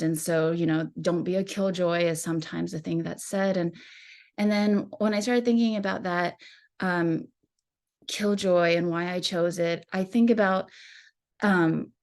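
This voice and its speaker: A woman from West Texas with an American accent, giving an informal slide talk as an artist in a reflective, halting manner. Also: fairly low pitch, a little mellow. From West Texas, United States. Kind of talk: informal slide presentation about own life and art.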